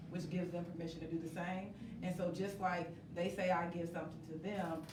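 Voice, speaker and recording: A woman speaking as a guest in a live group interview, earnest and heartfelt, repeating points for emphasis. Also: fairly low pitch. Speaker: play's creator as interview guest. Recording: echoey room, distant mic, noisy.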